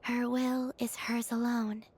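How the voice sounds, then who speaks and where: A woman playing a serene, gentle young moon goddess in a video game, speaking softly in a calm, formal, measured way. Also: on the high side, a little hiss or hum. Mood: gentle, solemn. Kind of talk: video game character acting.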